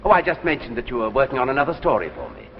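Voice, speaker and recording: An actor playing a suave, sinister gentleman in a 1950s drama, with a smooth, knowing, theatrical way of talking. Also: medium pitch, sing-song, racing, a little hiss or hum. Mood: smugly dismissive. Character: suave, sinister male dealer in fateful stories. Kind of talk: 1950s anthology drama acting. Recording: dull old 1950s broadcast with music.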